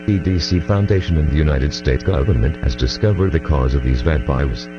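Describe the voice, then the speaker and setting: A man playing a grave emergency alert announcer, reading a scripted broadcast formally and steadily, in dull audio over music. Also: rumbling low. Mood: grave, official. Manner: formal, measured.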